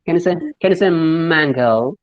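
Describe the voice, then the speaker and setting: A man's voice: a little high, normal speed, muffled recording. A man from Kerala with a Malayali English accent, a speaking coach explaining and demonstrating pronunciation in a friendly online lesson, often spelling words out letter by letter. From Kerala, India.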